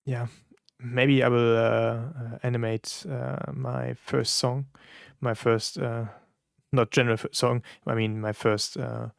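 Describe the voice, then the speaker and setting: Halting German man with gravelly voice. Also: mid-range voice, flat delivery, dry recording, clean studio sound. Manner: halting, many fillers and restarts, searching for words. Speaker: content creator reacting to songs. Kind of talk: casual reaction commentary.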